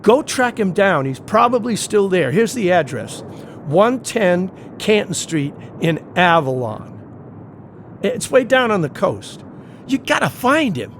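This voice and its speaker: A man's voice: sits high, sing-song, unhurried, a bit bright, heavily acted. An actor playing an uneasy, determined man investigating a house in an audio play, talking urgently and colloquially over faint noise.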